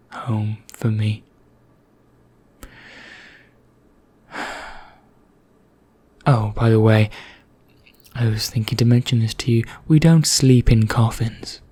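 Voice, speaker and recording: Slow, breathy ancient vampire knight played by a man. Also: mid-range voice. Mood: languid, condescending. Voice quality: soft, breathy, half-whispered, husky. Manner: measured, formal, condescending, deliberate pauses. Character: ancient male vampire knight, haughty, languid, darkly courteous. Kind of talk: ASMR roleplay monologue.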